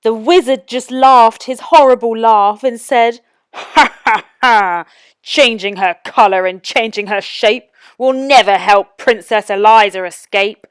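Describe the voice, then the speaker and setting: Wicked wizard villain for a children's storybook, played by a woman: loud, menacing, slow drawn-out taunts. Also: a little high, smooth, no room sound, close to an everyday voice. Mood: thunderous, offended, then mocking.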